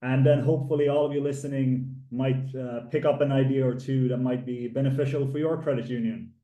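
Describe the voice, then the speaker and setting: Halting man in an echoey room. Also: medium pitch, fairly even intonation, warm tone. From Finland and Sweden. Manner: halting, frequent filler pauses. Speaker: webinar presenter. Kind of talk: slide presentation. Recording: echoey room, distant mic.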